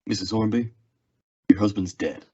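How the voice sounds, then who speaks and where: A man playing a stoic, plainspoken Old West lawman in a radio western, terse, restrained and polite, with a husky voice. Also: lowish voice, fairly even intonation, moderate pace, dark tone. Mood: somber, firm. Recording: dull lo-fi radio drama recording.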